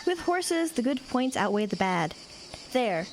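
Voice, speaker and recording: A woman's voice: a little high, big pitch swings, a little slow, slight background noise. A woman playing a friendly, easily alarmed horse-riding girl in an audio drama. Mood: friendly, chatty.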